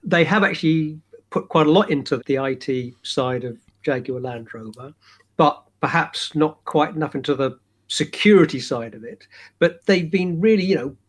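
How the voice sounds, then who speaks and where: A man co-hosting a podcast, explaining things at length in a relaxed, conversational way. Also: fairly high pitch. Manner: explains at length, many "you know" fillers. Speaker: podcast co-host. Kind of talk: casual podcast chat.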